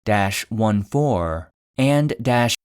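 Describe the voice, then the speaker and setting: Guy narrating a creepy podcast file, slow and clinical, mid pitch, really dry close sound. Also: animated, smooth, no echo. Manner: measured, clinical, serious. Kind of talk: scripted narration of fictional clinical case file.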